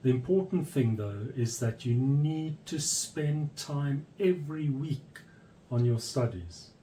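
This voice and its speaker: A man with a mellow, warm voice speaks in an earnest, unhurried way, breaking his thoughts into short phrases. He sounds steady and firm, like a leader explaining what is expected of newcomers. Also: mid-range voice, slight background noise, poor audio. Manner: measured, pausing between phrases, firm. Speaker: church leader. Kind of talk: earnest informational address.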